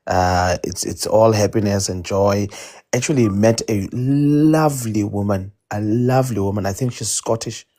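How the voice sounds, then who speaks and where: A man with a fairly low voice tells a story casually. He rambles, hesitates and restarts his sentences often. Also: moderate pace, dark tone. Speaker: debate participant.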